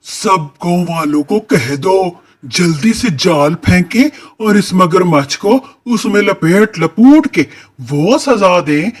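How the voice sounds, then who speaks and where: A man performs a blustering cartoon villager with a high voice. He scolds and accuses slowly and emphatically, always ready to threaten. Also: animated, heavily acted. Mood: scolding. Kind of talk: children's cartoon story dialogue.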